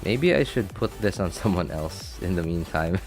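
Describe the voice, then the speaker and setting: A man giving casual, chatty live gameplay commentary as a streamer, thinking out loud and reacting as he goes. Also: low voice, expressive, moderate pace, soft background music. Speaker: game streamer.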